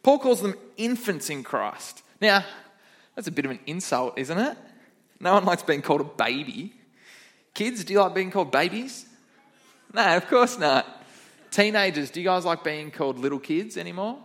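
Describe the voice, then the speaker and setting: A man's voice: high voice, lively intonation, normal speed, fairly bright tone. A young man, a kids pastor, giving a chatty, upbeat children's sermon in church and quizzing the kids.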